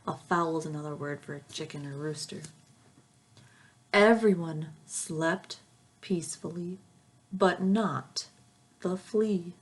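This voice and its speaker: A woman reading a children's picture book aloud like a teacher, with expressive, rhythmic phrasing aimed at young listeners. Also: fairly low pitch, slow pace, pauses often, breathy edge. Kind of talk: children's storybook read-aloud.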